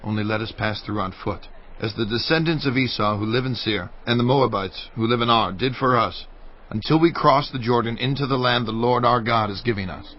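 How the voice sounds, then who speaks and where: A man playing a measured envoy petitioning a king for peace in a dramatized scripture reading. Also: mid-range voice, muffled recording, natural voice. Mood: diplomatic request.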